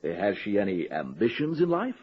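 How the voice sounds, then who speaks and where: A man's voice: on the low side, wildly swooping pitch, fairly quick, slightly rough, exaggerated voice. An actor playing an earnest, wry, exasperated male campaign organiser in a radio comedy. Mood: dry. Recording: dull lo-fi old radio recording.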